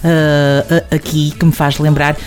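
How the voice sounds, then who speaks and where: A woman's voice: deep voice, flat delivery, measured pace, darkish tone. A woman with a European Portuguese accent hosting a conversational interview, effusive and affectionate with compliments for her guest. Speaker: interviewer, show host.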